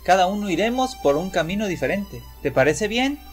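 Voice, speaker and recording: A cunning wolf from a fairy tale, sugary and wheedling as he lures his prey, played by a man. The voice sits a little high, with faint music underneath. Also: very animated, fairly quick. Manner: smooth and wheedling. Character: sly, sweet-talking big male wolf.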